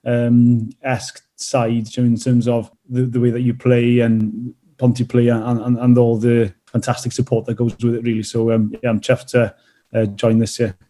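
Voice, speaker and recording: Welsh male athlete, 30s, hesitant and halting, dark even delivery. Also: mid-range voice. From North Wales. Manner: hesitant, many fillers and restarts. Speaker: rugby player and teacher. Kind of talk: casual interview answers.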